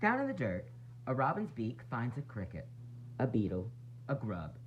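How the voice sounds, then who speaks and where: Very animated man reading a children's storybook. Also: medium pitch, normal speed. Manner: dramatic, sing-song phrasing with varied emphasis. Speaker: teacher reading a picture book aloud. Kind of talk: expressive children's storybook read-aloud. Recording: dull lo-fi sound, faint noise and hum.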